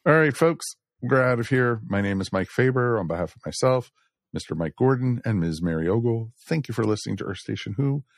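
A man's voice: mid-range voice, lively intonation, brisk, vocal fry, no echo, clean studio sound. A man hosting a podcast, chatting casually and addressing listeners in a folksy way. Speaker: podcast host.

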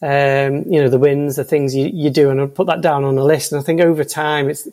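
A man's voice: fairly high pitch, level tone, a little fast, a little mellow. A man with a Liverpool accent, a guest in a casual interview, talking hesitantly with many ums and restarts. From Liverpool, England. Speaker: podcast guest, senior consultant.

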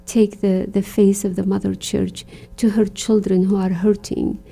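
A woman from Iraq, a religious sister, speaking earnestly and reflectively in an interview, often pausing with "you know". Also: mid-range voice, little pitch movement, gravelly, dark tone. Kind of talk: conversational interview.

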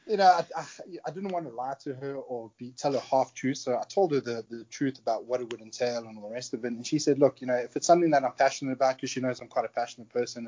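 South African man in his thirties, talkative guest, mid-pitched voice, muffled lo-fi call audio. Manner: chatty, warm, chuckles often. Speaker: guest, security contractor. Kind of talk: casual interview storytelling.

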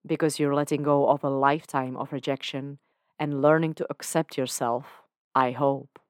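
A woman voicing a suave male devil who has become God, speaking in a dry, unhurried, formal way. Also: extremely low pitch, fairly even intonation, no room sound.

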